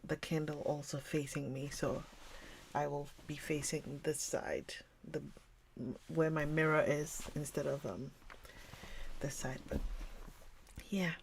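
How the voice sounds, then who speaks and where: A woman hosting a livestream, chatting casually with viewers in a rambling way and often dropping into a soft whisper. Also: low voice, steady tone, slow, gravelly, pauses often, a little hiss or hum. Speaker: livestream host reading books. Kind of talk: casual chat with live viewers.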